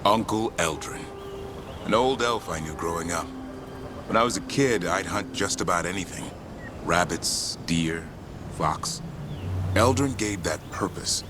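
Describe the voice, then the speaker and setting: An actor playing a proud, adventurous elf monster hunter in a video game, talking in short, clipped sentences. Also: male voice, low voice, a little slow, noisy background. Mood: earnest, resolute. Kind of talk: video game dialogue acting.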